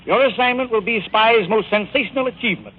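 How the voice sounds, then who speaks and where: A man playing a pompous, bombastic, domineering magazine publisher in 1940s radio style, grandiose and brisk. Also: high-pitched. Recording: scratchy, tinny 1940s radio broadcast.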